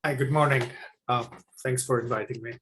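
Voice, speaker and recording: Man from Israel, interview guest, halting delivery, faint background noise. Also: medium pitch, steady tone, fairly bright tone. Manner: halting, many ums. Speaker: pulmonologist guest. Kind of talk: interview answers.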